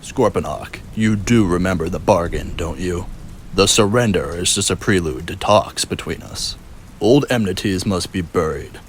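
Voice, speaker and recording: A man voicing a noble, weary, resolute robot leader in a comic dub, grave and measured. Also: deep voice, a little hiss or hum, lightly acted. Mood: grave, earnest. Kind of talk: fan comic dub, solemn dramatic speech.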